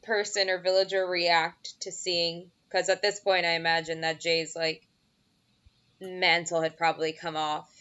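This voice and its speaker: A woman's voice: lowish voice, flat delivery, measured pace, sharp tone, smooth. Chatty woman player, dull lo-fi sound. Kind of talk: casual tabletop game chat.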